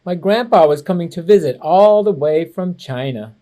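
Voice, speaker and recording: Man, slightly high pitch, school educator, children's read-aloud, expressive, clearly phrased. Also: moderate pace. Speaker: assistant principal reading to students.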